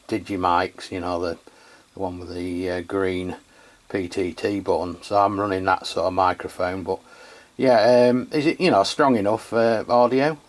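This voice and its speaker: An English man with an English accent, chatting casually with fillers while testing a CB radio. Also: low voice. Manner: relaxed, hesitant, many fillers. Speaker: CB radio reviewer. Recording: radio on-air test.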